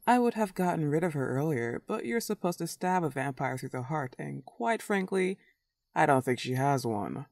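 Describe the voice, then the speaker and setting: A woman's voice: deep voice, lively intonation, a little mellow, dry recording, studio quality. A woman playing a smug, clingy, lovesick werewolf in a comedic roleplay audio drama, taunting and cooing. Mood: flirty, possessive. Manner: taunting, drawn-out teasing and cooing.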